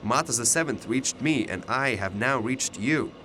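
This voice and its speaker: An actor in a radio drama playing a mysterious older alternate self, fatalistic and persuasive, speaking in a deliberate, ominous way. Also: male voice, mid-range voice, animated, noisy background, lightly acted. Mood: solemn, persuasive.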